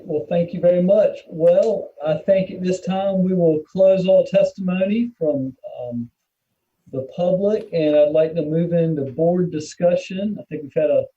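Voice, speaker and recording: Male meeting chair, fairly high voice, courteous and hesitant, tinny call sound. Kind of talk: informal procedural meeting moderation. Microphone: phone or conference call. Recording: tinny phone-like call audio.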